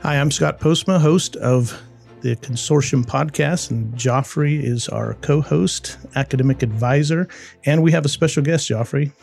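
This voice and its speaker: A man hosting an academic podcast, opening with a scripted intro and then asking questions in an upbeat, measured way. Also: medium pitch, gravelly, a little mellow, faint music behind. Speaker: podcast host.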